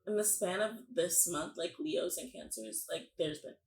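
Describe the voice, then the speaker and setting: A young woman in her twenties hosting a podcast, her voice gravelly with vocal fry. She chats in a loose, rambling way with many fillers and laughs. The room sounds roomy and a little echoey. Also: deep voice, normal speed, a crowd in the background. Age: 20s. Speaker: podcast host. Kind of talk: casual interview chat.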